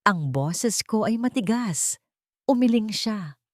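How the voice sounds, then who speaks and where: A woman's voice: mid-range voice, lively intonation, takes it slowly, no echo, studio quality. A woman with a Filipino accent reading scripted audiobook narration as a storyteller.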